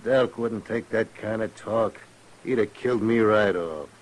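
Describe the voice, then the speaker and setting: An American actor playing a colloquial, drawling saloon tough who is easily cowed, in a 1950s radio western. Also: male voice, on the low side, unhurried, close to an everyday voice, rough recording. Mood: doubting, cocky. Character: swaggering saloon tough turned frightened and backing down. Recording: old radio recording with faint noise.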